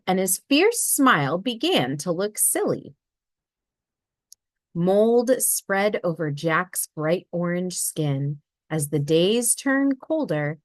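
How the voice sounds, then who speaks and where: A woman reading a children's picture book aloud in an expressive storybook manner. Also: on the low side, no echo. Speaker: read-aloud narrator.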